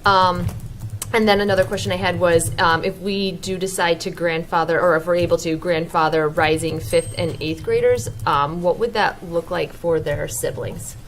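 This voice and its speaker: Low, apologetic woman asking questions. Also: steady tone, moderate pace, a little hiss or hum. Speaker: committee member.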